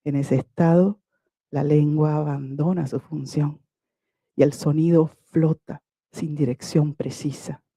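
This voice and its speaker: Woman poet, reading a prepared talk, measured and lyrical, very dark mellow tone, dry close sound. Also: low voice, husky, no echo. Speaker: poet presenting her book.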